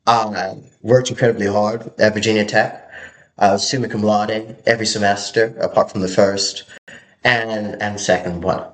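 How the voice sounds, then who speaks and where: A young man talks straight to camera in a low voice, earnest and intense. He stops and restarts, repeats words, and drives his points home. The room around him rings with echo. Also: fairly even intonation, moderate pace. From Hong Kong. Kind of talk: motivational monologue.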